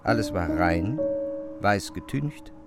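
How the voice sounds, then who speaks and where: A man narrating a literary audio drama with measured, precise phrasing. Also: low-pitched, animated, loud music behind the voice.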